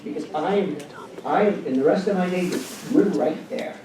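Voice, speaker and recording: A man's voice: on the high side, normal speed. A man speaking as a resident at public comment, angry, blunt and accusatory. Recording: very echoey hall, far from mic, loud noise.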